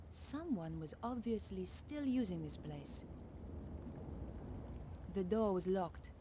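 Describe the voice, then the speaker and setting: A woman's voice: on the low side, warm tone, slight background noise. A woman playing a determined, stubborn young investigative reporter in an adventure game. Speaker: game protagonist and narrator.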